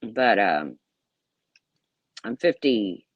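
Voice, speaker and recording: A woman's voice: a bass voice, lively intonation, very slow, husky, no room sound, dull audio. A woman in her late fifties hosting a casual livestream, talking bluntly and sarcastically as she rambles and answers her chat. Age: 50s. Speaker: YouTube livestream host.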